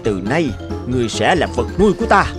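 An actor playing an old rice farmer in an animated folk tale, with emphatic, drawn-out delivery. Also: male voice, mid-range voice, natural voice. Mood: stern, gloating. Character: stern old rice farmer who turns remorseful. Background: music behind the voice.

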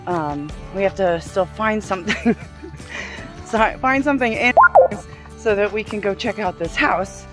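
A woman in her thirties chatting casually and playfully as a travel vlogger. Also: mid-range voice. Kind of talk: casual chat. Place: truck cab, woods on a hike. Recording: music behind the voice.

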